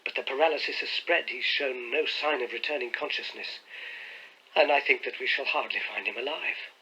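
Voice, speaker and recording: A man reading as a distressed young gentleman who recounts family troubles in an earnest, indignant, emphatic way. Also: mid-range voice, moderate pace, breathy edge, rough recording.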